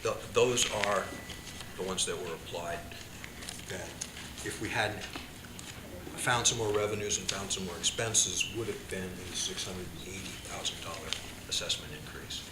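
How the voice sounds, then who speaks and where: A man chairing a budget subcommittee meeting, speaking haltingly with many fillers and restarts. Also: low-pitched. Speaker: committee chair. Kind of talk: public meeting discussion. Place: meeting room. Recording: echoey room, loud noise.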